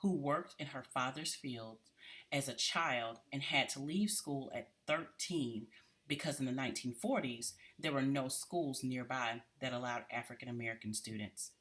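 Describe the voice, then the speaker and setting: An adult reads a biographical piece aloud. The voice sits at a medium pitch, and the delivery is deliberate and measured, like someone carefully following a printed text. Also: a bit bright.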